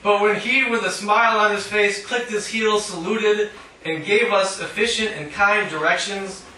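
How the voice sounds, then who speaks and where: A man's voice: sits high, steady tone, moderate pace, bright, forceful tone. A man reading poetry at a live reading, with a wry, sardonic manner and casual asides. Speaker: featured poet at a reading. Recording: roomy, distant mic, faint noise.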